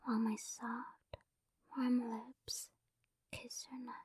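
A woman doing a whispered romantic ASMR roleplay, speaking softly and coaxingly, close to the microphone. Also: fairly high pitch, little pitch movement, slow pace, no echo. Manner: soft breathy whispering, affectionate and coaxing. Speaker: ASMR performer.

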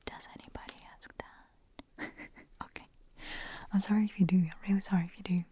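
A woman whispering in an ASMR audio, her voice hoarse and raspy as she nearly loses it, giggling and making chatty, self-deprecating asides. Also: mid-range voice, fairly even intonation, a little fast, mellow. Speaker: ASMR creator.

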